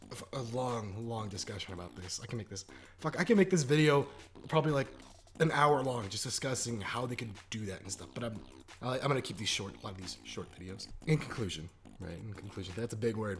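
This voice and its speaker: Casual, emphatic man with music behind. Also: medium pitch. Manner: informal, emphatic, jokey, profane. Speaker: YouTube video reviewer. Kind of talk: casual opinionated video review. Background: background music.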